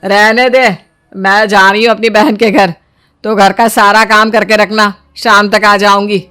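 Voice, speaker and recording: A woman voicing a domineering older mother-in-law in a family story, scolding and commanding with a sharp tongue. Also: mid-range voice, little pitch movement, a little slow, clear, resonant tone, soft background music. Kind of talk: animated Hindi moral story dialogue.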